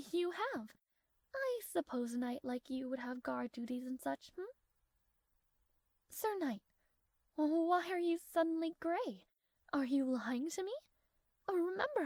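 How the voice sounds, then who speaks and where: A woman's voice: sits high, dry recording, clean studio sound. A woman playing a proud, strong-willed young princess in a romantic fantasy audio roleplay, speaking formally with haughty, flustered hesitations. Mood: curious, probing.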